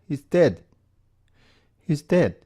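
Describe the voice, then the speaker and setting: A man narrator puts on a sorrowful boy in an audiobook. The voice is lifted higher, with a soft, muted edge. He speaks haltingly, heavy with loss. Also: sing-song, breathy edge, exaggerated voice. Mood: mournful, shaken. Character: forlorn boy grieving for his dead dog.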